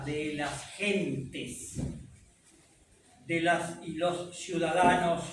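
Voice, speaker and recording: A man with a high voice speaks slowly through an echoey room, heard from some distance off with a little faint noise behind him. His first language is French. He lectures an audience in a conversational way, full of rhetorical questions. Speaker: speaker at a public talk.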